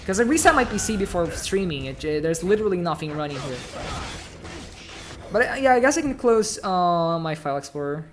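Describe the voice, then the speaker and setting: A man's voice: high voice, lively intonation, fairly quick, slight background noise. A man from Brazil with a light Brazilian accent, chatting casually and rambling with many fillers while livestreaming a game. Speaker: streamer.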